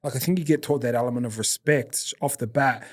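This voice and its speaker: A man's voice: mid-range voice, a little fast. A man with a New Zealand accent hosting a podcast, casual and blokey, laughing often. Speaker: podcast host.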